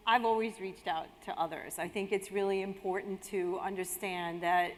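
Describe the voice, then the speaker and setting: A woman speaking conversationally as a panelist at a conference, explaining her own approach. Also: on the low side. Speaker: panelist, business executive. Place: indoor conference hall on stage. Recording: echoey hall, long reverb, audible noise.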